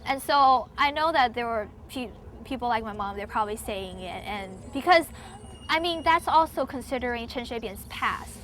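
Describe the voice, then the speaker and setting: A woman's voice: a little high, animated, moderate pace, fairly bright tone. A young woman from Taiwan answering interview questions in a casual, chatty way, full of "like" and hedges. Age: young adult. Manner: chatty, many "like" fillers, hedging.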